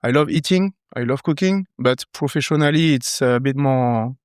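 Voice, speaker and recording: A man in his thirties from southern France with a French accent, talking relaxed and thoughtful, pausing often, as a podcast guest. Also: mid-range voice, clean tone, no echo, clean studio sound. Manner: relaxed, thoughtful, halting with many fillers. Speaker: guest, wine professional. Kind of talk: casual interview talk.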